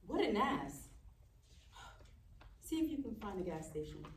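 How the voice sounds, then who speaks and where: A woman's voice: mid-range voice, big pitch swings, unhurried. A woman acting a caring, outspoken aunt on a road trip at a live table read, in a conversational manner. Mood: teasing, irritated. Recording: echoey, distant room mic with faint noise.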